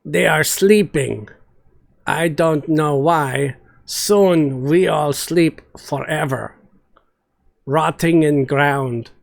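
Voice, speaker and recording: A man voicing a grim, savage orc woman warrior in a fantasy story, rumbling in blunt, broken phrases. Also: extremely slow, creaky. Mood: grim, resigned.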